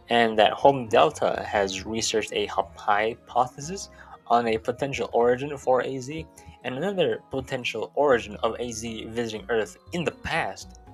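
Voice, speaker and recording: Casual male video essayist with music behind. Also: mid-range voice. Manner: informal, swearing. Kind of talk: casual recap commentary with scripted narration.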